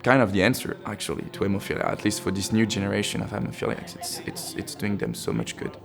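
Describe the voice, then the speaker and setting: A man's voice: lowish voice, quick pace, creaky. A man who started the project, speaking thoughtfully with fillers in a documentary interview. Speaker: project initiator. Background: music.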